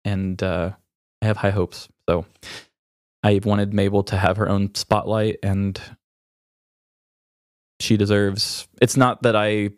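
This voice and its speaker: Low-voiced man, candid and hesitant. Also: level tone, slow pace, pauses often, no echo, studio quality. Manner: hesitant, self-revealing, informal. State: emotional from grief. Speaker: podcast host. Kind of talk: candid, unscripted casual chat.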